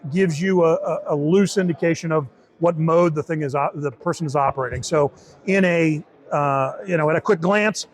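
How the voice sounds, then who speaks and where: A man giving an informal interview demo of a software tool, explaining step by step and often rephrasing as he goes. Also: on the high side, moderate pace. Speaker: software team member demonstrating a beta tool.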